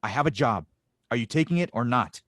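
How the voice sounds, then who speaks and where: A man's voice: medium pitch, animated, a little fast, fairly bright tone, no room sound, clean studio sound, natural voice. A man playing a guarded, defiant young fugitive inventor, terse and evasive, in an audio drama table read.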